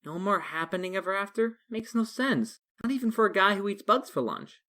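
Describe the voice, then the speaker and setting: A bossy nitpicker acted by a man with a bright, sharp voice. He is impatient and mocking, exasperated as he corrects someone. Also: high voice, animated, a little fast. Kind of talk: comic dub character acting.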